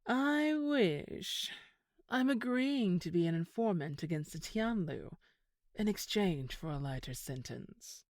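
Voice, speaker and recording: A woman acts an unflappable ex-criminal old flame in a detective audio drama. She talks slowly in a lowish voice, measured and dryly sardonic, recorded dry. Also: expressive, no echo. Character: unflappable reformed criminal ex-girlfriend turned informant.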